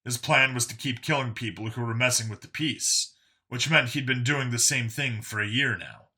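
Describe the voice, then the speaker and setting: A male audiobook narrator reading scripted fiction. His voice sits at an average pitch and has a strikingly sharp, forceful brightness. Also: moderate pace.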